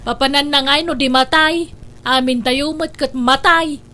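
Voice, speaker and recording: A woman with an Ilocano accent acting in a comedy drama, talking in a sharp-tongued, defensive way. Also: high voice, steady tone, a little slow. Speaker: drama voice actor, daughter-in-law caring for an old mother.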